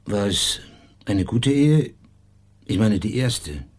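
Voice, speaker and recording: Low-voiced, persistent male investigator. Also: expressive, slow pace, darkish tone. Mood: quietly insistent. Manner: polite, insistent, matter-of-fact questioning. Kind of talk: radio crime drama dialogue.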